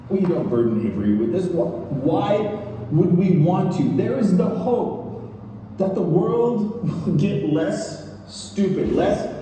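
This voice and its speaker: A man giving an earnest, emphatic dramatic reading on a theatre stage, speaking deliberately. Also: high-pitched, warm tone. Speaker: stage performer. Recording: echoey theatre hall, distant mic, noise.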